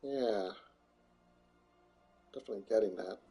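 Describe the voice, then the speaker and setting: A man's voice: on the low side, a little slow, mellow, faint music behind. A man casually chatting and joking while streaming a fantasy game on a mic. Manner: chatty, joking, talks to chat and characters. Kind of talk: casual gameplay commentary. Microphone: headset or desk mic.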